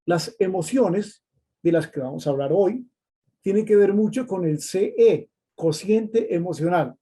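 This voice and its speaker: A middle-aged Colombian man from Cali, giving an online training lecture at an unhurried pace and repeating key terms so listeners can note them down. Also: high voice, lively intonation, dark tone, breathy edge. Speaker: course instructor.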